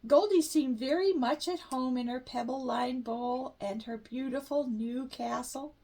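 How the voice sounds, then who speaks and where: An older woman gently reading a children's picture book aloud at home. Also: high voice, unhurried. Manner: gentle expressive read-aloud. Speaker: storyteller.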